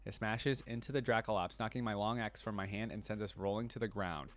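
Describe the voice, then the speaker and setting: A man's voice: on the low side, steady tone, a little fast. A man hosting a reaction video, reading a story aloud and commenting casually on it as he goes.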